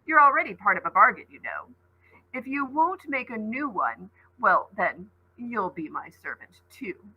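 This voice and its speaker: A woman's voice: mid-range voice, very animated, unhurried, slightly rough, dull audio. A woman voicing a cold, tricky male otherworldly villain in a storybook, taunting and commanding. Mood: sly taunting.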